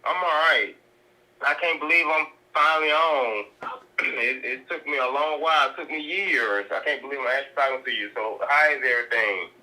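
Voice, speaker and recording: A man's voice: medium pitch, normal speed, sharp tone. A man calling in to a talk show, an eager fan who stumbles over his words. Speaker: caller. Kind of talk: phone-in call. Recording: tinny phone line.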